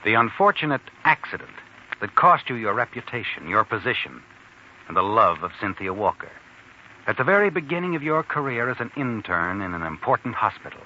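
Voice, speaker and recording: A man's voice: medium pitch, very animated, fairly quick, sharp tone, slight background noise. An American man playing an ominous, taunting narrator in a 1940s radio suspense drama, addressing the hero dramatically. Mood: grim, suspenseful. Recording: dull 1940s radio recording, music behind.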